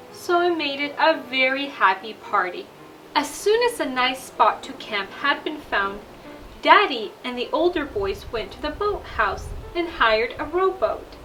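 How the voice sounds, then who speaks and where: High-pitched woman reading a bedtime story. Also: fairly bright tone, poor audio. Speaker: storyteller and show host.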